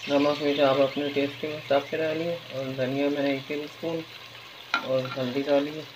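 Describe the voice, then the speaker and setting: A woman's voice: low-pitched, flat delivery, normal speed, noisy background. A woman casually narrating a recipe as a home cook while she works at the stove. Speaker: home cooking vlogger. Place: home kitchen, indoors. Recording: dull phone audio, loud frying sizzle. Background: frying, sizzling and stirring.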